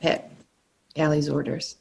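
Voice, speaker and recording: A woman acting a concerned, doubting young woman at a script table read, with a gravelly, husky voice. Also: low-pitched, little pitch movement, measured pace, a little mellow, slight background noise. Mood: troubled, disbelieving.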